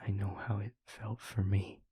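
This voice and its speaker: A man playing a tender, haunted male vampire boyfriend in an intimate audio roleplay, speaking haltingly and earnestly in a breathy, husky hush. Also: lowish voice, little pitch movement, dry recording. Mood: tender, reassuring. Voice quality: breathy, husky near-whisper. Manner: halting, hushed, earnest delivery with heavy pauses.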